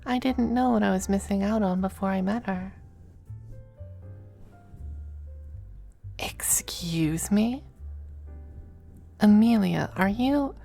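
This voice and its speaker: A woman playing a possessive, doting, androgynous male demon lover in an intimate ASMR roleplay, speaking softly and often slipping into whispers. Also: medium pitch. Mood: hesitant, indulgent. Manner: soft, breathy, unhurried, often dropping to whispers. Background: faint music.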